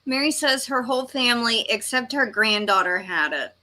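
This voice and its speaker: A woman's voice: on the high side, lively intonation, normal speed, bright, forceful tone. A woman from Texas, hosting a livestream and casually reading comments aloud. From Texas, United States. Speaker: livestream host.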